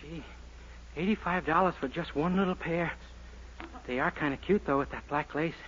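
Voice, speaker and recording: A man's voice: on the high side, normal speed, fairly bright tone, dull audio, a little hiss or hum, natural voice. An actor playing a naive, bashful young man who gets flustered easily, in 1940s radio sitcom acting.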